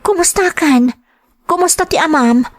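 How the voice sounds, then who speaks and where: A woman's voice: extremely high pitch, moderate pace. A woman playing a devoted, self-sacrificing young woman wounded in love, in a melodramatic audio drama. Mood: hurt, disbelieving. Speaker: drama actor.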